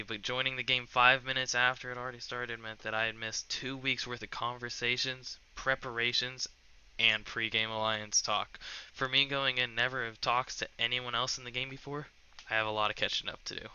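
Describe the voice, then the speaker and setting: Man, steady self-introduction, very clear voice, slightly dull sound. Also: medium pitch, moderate pace. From California. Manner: steady, explanatory. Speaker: game player.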